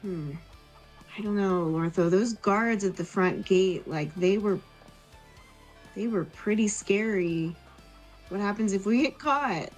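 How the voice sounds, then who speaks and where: A woman's voice: medium pitch, takes it slowly, long pauses, soft background music. A woman playing a sheltered, cautious village woman, hesitant and worried, in a tabletop game.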